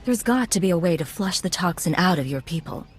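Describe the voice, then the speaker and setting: A female intelligence agent with a lowish pitch in a video game. She speaks in short, controlled lines and stays composed and principled. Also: animated, fairly quick, husky. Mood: composed, assured. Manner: cool, concise, controlled.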